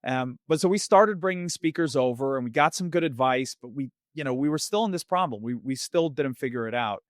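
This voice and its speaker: A man's voice: mid-range voice, brisk, dry recording, clean studio sound. Swedish-raised man with an American accent. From Sweden. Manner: animated, acts out both sides of past conversations. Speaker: podcast guest. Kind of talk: conversational storytelling.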